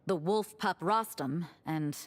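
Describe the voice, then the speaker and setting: Composed, knowing game knight. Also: female voice, fairly low pitch. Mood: storytelling. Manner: measured, knowing, explanatory. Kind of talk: video game dialogue, storytelling. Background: game music.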